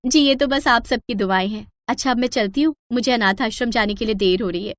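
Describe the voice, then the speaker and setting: A woman's voice: a little high, fairly quick, no echo, lightly acted. A woman voicing a polite young new bride who makes a show of virtue in a moral story. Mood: sweetly pious. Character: sweet, falsely pious young new bride. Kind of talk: acted moral-story dialogue.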